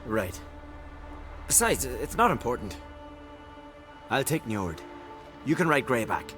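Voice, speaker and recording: An actor playing an earnest young islander villager in a fantasy game, with plain rustic phrasing. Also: male voice, mid-range voice, very animated, slow. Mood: awkward, bashful. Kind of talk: dramatic video game acting. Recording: music behind the voice.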